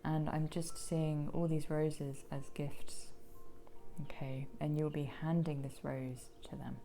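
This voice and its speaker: Soft croaky woman reading tarot. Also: low voice, flat delivery, slow pace, faint music behind. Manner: gentle, soothing, drops into whispers. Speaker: tarot card reader. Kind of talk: pick-a-card tarot reading.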